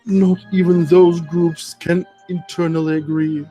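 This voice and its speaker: A man with a notably high voice reads aloud in a mock-dramatic streamer style. His delivery is theatrical and giggly, and music plays softly behind him. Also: level tone, a little slow. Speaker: streamer reading a social media thread aloud. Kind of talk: mock-dramatic reading with amused asides. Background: background music.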